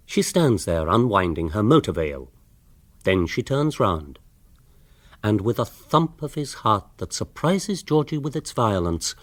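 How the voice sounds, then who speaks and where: An actor playing an urbane English author, narrating a radio comedy in a literary, drily amused manner. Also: male voice, fairly low pitch, dry recording.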